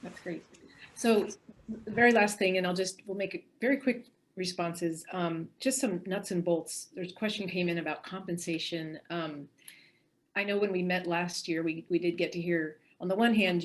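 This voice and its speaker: A woman moderating a panel briskly, posing questions to guests. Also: lowish voice. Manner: brisk, wrapping up.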